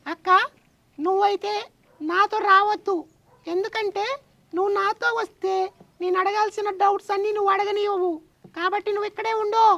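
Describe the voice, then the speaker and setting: A woman's voice: very high voice, fairly even intonation, a little fast, pauses often, a bit bright, lo-fi sound. A woman voicing a cheeky, vain little boy puppet who whines and argues in a children's puppet show. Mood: argumentative. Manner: whiny, argumentative, boastful.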